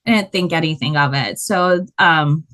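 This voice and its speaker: A woman with a loud voice, a body painter telling her story in a casual interview, animated and quick to laugh. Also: low voice.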